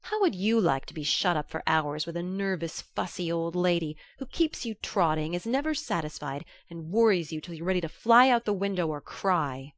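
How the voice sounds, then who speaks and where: A woman's voice: fairly low pitch, animated, normal speed, hardly any pauses, no echo, clean studio sound, natural voice. Woman playing blunt, hot-tempered teenage tomboy. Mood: exasperated. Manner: brusque, emphatic, defiant. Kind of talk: audiobook character acting.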